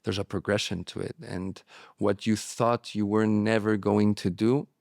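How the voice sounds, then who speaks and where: A man whose first language is Spanish, speaking candidly as an interview guest, reflective and halting with frequent restarts. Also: lowish voice, level tone, creaky, a little mellow, dry recording, clean studio sound. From born in Morocco, raised abroad. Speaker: guest, relapse prevention therapist.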